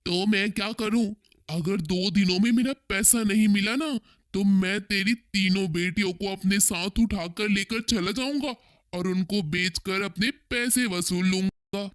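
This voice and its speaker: A man acts out a cruel village creditor from a cartoon tale. His voice sits high for a man and rings clean and smooth. He scolds and threatens with greedy menace. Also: measured pace, fairly bright tone, dry recording, studio quality. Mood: threatening. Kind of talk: Hindi cartoon story dialogue.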